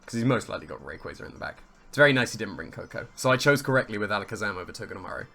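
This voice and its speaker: A man with a slightly hoarse, croaky voice commentates his own competitive match in casual play-by-play, thinking aloud, weighing his options and amused at his own plays. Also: fairly low pitch, expressive, rapid-fire, pushed and bright, faint music behind.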